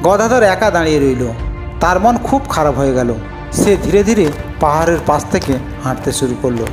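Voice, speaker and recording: A male storyteller with a high-pitched voice reads scripted fairy-tale narration for a cartoon. Music plays clearly behind his voice. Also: lively intonation, moderate pace.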